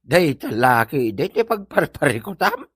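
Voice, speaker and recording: A teasing Ilocano pal, acted by a man, slow and drawling yet swooping in pitch. The exclamations are mocking and amused, in comic radio drama style with an Ilocano accent. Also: sing-song, a bit bright, husky, dry recording, full character voice. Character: teasing, amused male friend of the old husband. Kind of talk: comedy radio drama acting.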